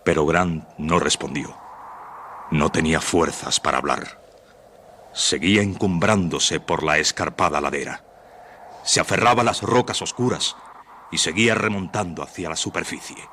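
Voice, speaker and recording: A man's voice: deep voice, moderate pace, bright, forceful tone, a little hiss or hum. A man narrating a suspense radio drama in a solemn, theatrical, scripted style.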